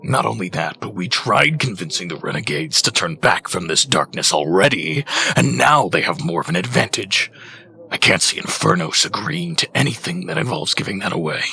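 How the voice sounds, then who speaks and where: An actor playing a grim, doubtful celestial warrior in a fantasy audio drama, cautioning. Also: male voice, low voice, lively intonation, moderate pace, creaky, bright, forceful tone, full character voice.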